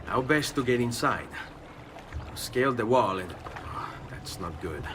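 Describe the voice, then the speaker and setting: A man plays a charming young assassin who trades dry, teasing quips. His delivery is lively and rises and falls, with game music underneath. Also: medium pitch, unhurried, natural voice. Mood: casual. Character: charming young male Italian nobleman assassin.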